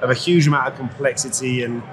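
A man's voice: mid-range voice, steady tone, quick pace. A man, an executive guest, explaining his field conversationally in an interview at a trade show. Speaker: guest, company chief executive. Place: live at an automotive trade show. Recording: noisy trade show floor. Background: clear ambient noise.

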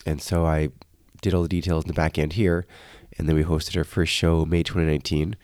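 A man's voice: very deep voice, flat delivery, brisk, darkish tone, dry recording. A man telling informal anecdotes as a guest in a casual interview. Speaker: interview guest, concert organizer. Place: church, live broadcast.

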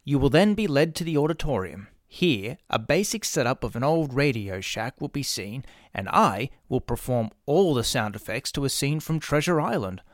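A man's voice: mid-range voice, lively intonation, normal speed, few pauses, no echo, clean studio sound. A middle-aged man with an Australian accent, reading scripted promotional narration as a podcast host. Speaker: podcast host and drama workshop creator. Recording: music behind the voice. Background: music.

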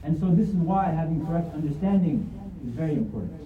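A man's voice: fairly high pitch, animated, quick pace. A man giving an earnest religious lesson, repeating his points for emphasis and reciting verses in Arabic. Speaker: Islamic lecturer. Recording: tinny, echoey, distant phone-like sound.

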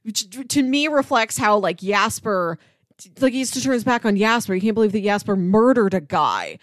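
Casting: female podcast co-host, medium pitch, casual conversational TV chat, very dry recording. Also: sing-song, moderate pace, dry recording.

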